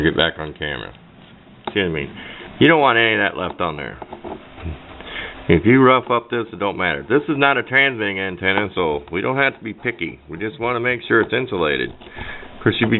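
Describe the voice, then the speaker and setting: Low-voiced man, tinny noisy recording. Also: lively intonation, fairly quick. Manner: folksy, plain-spoken, colloquial grammar. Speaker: DIY radio hobbyist demonstrating. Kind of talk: casual hands-on tutorial narration. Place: at a workbench. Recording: muffled phone-like audio, background noise.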